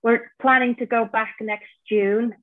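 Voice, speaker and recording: A woman's voice: a little high, a little slow. A woman in her thirties from Iceland with an Icelandic accent, chatting casually as a podcast guest about her life. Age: 30s. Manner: relaxed, plainspoken storytelling. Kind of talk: casual interview chat. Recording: dry, tinny phone-like line.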